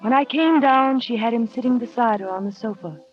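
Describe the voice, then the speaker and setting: An actress in a 1940s radio drama playing a shy nineteen-year-old companion, soft-spoken and wistful, narrating her memories. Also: fairly high pitch, a little fast, lightly acted. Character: shy young woman of nineteen, paid companion. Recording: dull old radio recording with music.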